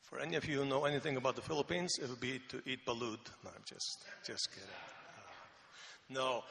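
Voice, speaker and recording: A man in his sixties from the Faroe Islands, speaking, relaxed and joking, with many pauses and fillers as he is interviewed at church. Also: medium pitch, vocal fry. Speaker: missionary Bible translation consultant. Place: church sanctuary. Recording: reverberant church hall.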